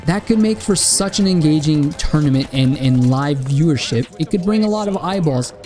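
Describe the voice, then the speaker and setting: A man's voice: on the high side, darkish tone. A man giving a casual, opinionated game review straight to his viewers while he is losing his voice. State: losing his voice, dry mouth. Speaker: gaming content creator. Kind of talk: spoken game review and feedback.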